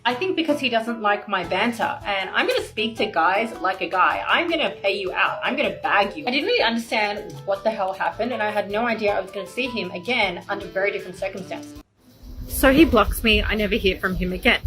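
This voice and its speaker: A young woman telling a personal story in a casual, expressive, slangy way. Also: medium pitch, a little fast, faint music behind. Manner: expressive, acts out dialogue, slangy.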